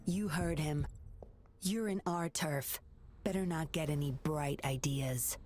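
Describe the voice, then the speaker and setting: A woman's voice: low-pitched, slow pace, a little mellow, slight background noise. An actress playing a cool, sly, commanding female operative in a video game, with a controlled, taunting, menacing manner. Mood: controlled menace.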